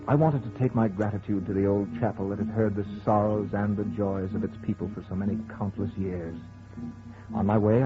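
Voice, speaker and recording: An actor playing a married man narrating an eerie night walk, in the deliberate, literary manner of old-time radio horror. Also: fairly low pitch, close to an everyday voice. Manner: theatrical, deliberate, literary phrasing. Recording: tinny, band-limited old radio recording, music behind.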